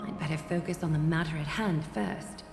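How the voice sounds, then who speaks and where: A lowish female voice of a stern, burdened noblewoman, formal and composed, as in a fantasy game. Music runs loudly behind her. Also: a little fast. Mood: composed, grave. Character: solemn, guarded noble lady, burdened and stern. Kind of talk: video game voice acting. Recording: loud music under the voice.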